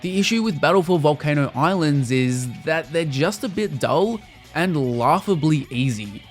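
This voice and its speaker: A man's voice: fairly high pitch, animated, normal speed, faint music behind. A man narrating a scripted video game review in a casual, wry manner with the odd mild swear.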